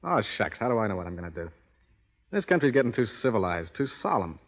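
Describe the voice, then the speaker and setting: Radio western rustler: low-pitched, easygoing, folksy Western American, devil-may-care; muffled vintage recording. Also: male voice, very animated, fast talker, breathy edge, heavily acted. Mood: flippant, defiant. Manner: flippant, folksy. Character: reckless, easygoing cowhand turned cattle rustler. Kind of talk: 1930s-40s radio western acting. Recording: muffled old radio recording, hall reverb.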